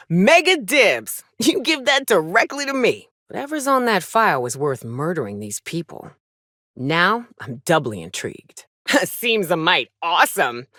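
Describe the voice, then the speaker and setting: A woman voicing a gruff, tough but caring frontier monster hunter in a video game, with wry, folksy, blunt delivery. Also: medium pitch, fairly bright tone, no echo, studio quality. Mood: eager, greedy. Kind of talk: video game character dialogue.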